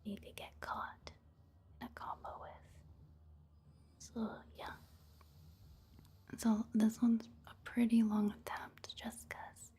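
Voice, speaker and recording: Casting: female ASMR game commentator, whispery and breathy, soft mellow tone, slightly high register, hushed halting delivery. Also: monotone. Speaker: gaming ASMR creator.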